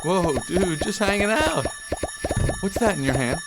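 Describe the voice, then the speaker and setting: A young bee from a cartoon game, wry and flustered, voice all over the place. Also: male voice, high voice, lively intonation, a little slow, heavy background noise. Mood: nervous, wisecracking. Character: young male bee, wry, flustered, talkative talk show guest. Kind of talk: animated comedy game voice acting.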